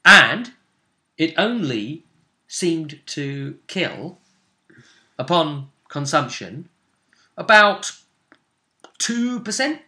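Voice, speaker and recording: A man running a tabletop roleplaying game as game master, recapping the story casually in a halting, emphatic way, repeating phrases as he goes. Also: on the high side, big pitch swings, sharp tone.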